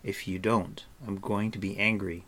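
A man's voice: lowish voice, flat delivery, moderate pace, a bit bright. Man playing an impatient, anxious young storybook pig keeper. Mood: irritated.

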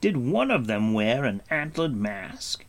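A man's voice: medium pitch, big pitch swings, takes it slowly, slight background noise. A man voicing a stern, impatient warrior prince who speaks firmly, in a storybook reading. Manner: firm, impatient, severe.

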